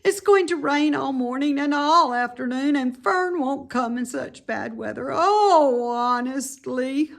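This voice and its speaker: Woman as little male storybook pig, high-pitched, lonely, self-pitying, plaintive, very animated. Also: measured pace, vocal fry, few pauses, full character voice. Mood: miserable, groaning. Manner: plaintive, dramatic. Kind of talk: children's storybook character voice.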